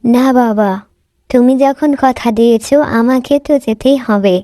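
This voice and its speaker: Resolute young daughter , a woman voicing her: mellow, slightly high, dry studio. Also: a little slow, no room sound, lightly acted. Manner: calm, earnest, resolute. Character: wise, brave young woodcutter's daughter.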